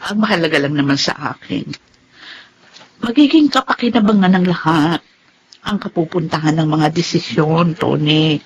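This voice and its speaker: A woman's voice: deep voice, normal speed, husky. An actress playing a devoted, affectionate mother in a Filipino radio drama, with a Filipino accent. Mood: earnest. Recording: faint background noise.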